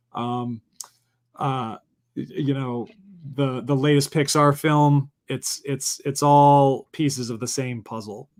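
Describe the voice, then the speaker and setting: Raspy-voiced man, voice worn out. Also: mid-range voice. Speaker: guest, film festival organizer. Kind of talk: casual conversation.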